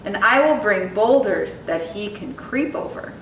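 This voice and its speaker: In an echoey room, slightly far from the mic, a woman reads as an earnest young boy from a storybook. He is eager and full of imagination, with careful phrasing and a lively, rising and falling voice. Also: a little slow, natural voice. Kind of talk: children's storybook reading. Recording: echoey room, distant mic, faint rumbling noise.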